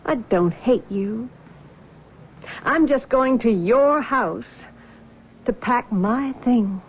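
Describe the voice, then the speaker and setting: An actress playing a troubled, grieving young woman in a vintage radio drama, speaking softly and hesitantly. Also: fairly high pitch, lively intonation, slow pace. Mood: resolved. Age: young adult. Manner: soft, hesitant. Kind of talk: 1970s radio drama acting. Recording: dull old radio broadcast with faint hiss.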